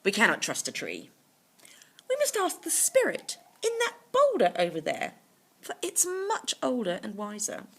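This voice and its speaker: A woman's voice: fairly high pitch, huge pitch swings, measured pace, gravelly, fairly bright tone, heavily acted. A woman voicing a grumpy, hungry male tiger from a children's storybook, with grandly polite, formal phrasing. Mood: hungry, insistent.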